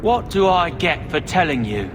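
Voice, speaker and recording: Grudging street boy in a fantasy game, high voice, hesitant, noisy background. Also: sing-song, moderate pace. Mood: grudging, cagey. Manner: hesitant, grudging, plain-spoken. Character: cagey, bargaining street boy witness. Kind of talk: video game voice acting.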